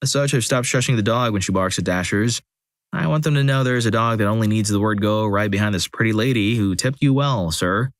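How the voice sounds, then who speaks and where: A man narrating a compilation of first-person stories in a steady, scripted reading, with dry, wry asides. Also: fairly low pitch, a little fast, dry recording.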